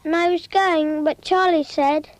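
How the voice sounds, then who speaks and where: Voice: very high voice, fairly even intonation, a little slow, very noisy. An actor voicing a small child who narrates a cautionary cartoon in a simple, childlike storytelling way. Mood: earnest.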